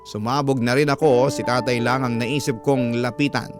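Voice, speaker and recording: A man with a Filipino accent narrating a scripted first-person story as a host, in an emotive, deliberate radio-drama style. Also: mid-range voice, fairly even intonation. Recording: loud music bed under voice. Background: loud instrumental music.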